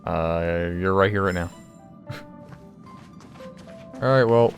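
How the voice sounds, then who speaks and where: Very low-pitched woman gamer. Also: drawn-out words, faint music behind. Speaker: let's player commenting on a game. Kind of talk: casual gameplay reaction.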